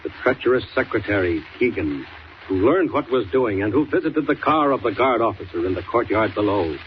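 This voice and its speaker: An actor as a dramatic 1940s radio serial narrator, grave and suspenseful, on a noisy old recording. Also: male voice, on the low side, lo-fi sound, lightly acted. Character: ominous male serial narrator.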